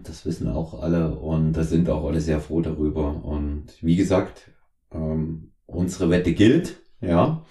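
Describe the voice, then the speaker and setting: A man's voice: deep voice, dark tone. A man hosting a podcast who speaks hesitantly, with many fillers and restarts. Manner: hesitant, filler-heavy wrap-up. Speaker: podcast host. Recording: roomy echo.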